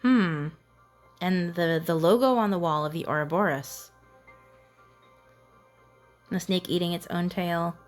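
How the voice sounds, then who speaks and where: A woman's voice: lowish voice, unhurried, pauses often, faint music behind. A woman giving casual gameplay commentary, thinking aloud to herself in a curious, musing way. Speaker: gamer narrating own playthrough.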